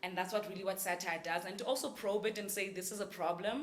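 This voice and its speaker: A woman's voice: mid-range voice, fairly even intonation, quick pace, roomy sound. A woman on a discussion panel, talking casually and laughing often while she explains. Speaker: cast member in a panel discussion.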